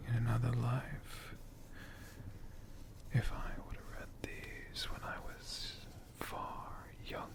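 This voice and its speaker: Man as a slow, whispering friendly dragon storyteller. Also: medium pitch, monotone. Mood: wistful, confiding. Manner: slow, hushed, deliberate, with long pauses. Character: friendly male dragon shopkeeper, gentle bookish storyteller. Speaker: ASMR host. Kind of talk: whispered and soft-spoken ASMR storytelling.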